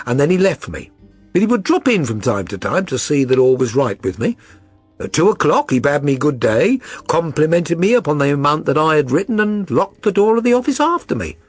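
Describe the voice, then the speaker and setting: Guy doing an aggrieved middle-aged shopkeeper type, rambling and deferential, husky, pitch all over the place. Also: no echo, full character voice. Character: middle-aged tradesman client, earnest, plodding, aggrieved, deferential. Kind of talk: audiobook character reading.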